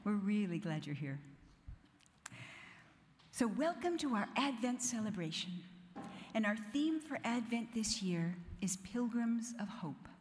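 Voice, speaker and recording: A woman's voice: medium pitch, very animated, unhurried, slight background noise. A woman welcoming a gathering and leading prayer as a liturgy leader, speaking in a large church space. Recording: echoey hall with long reverb.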